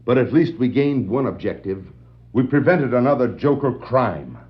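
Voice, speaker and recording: An actor playing an earnest, commanding superhero detective with stagey, declarative delivery on a 1960s children's adventure record. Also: male voice, medium pitch, lively intonation, normal speed, natural voice. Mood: thoughtful. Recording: dull 1960s vinyl record dramatization.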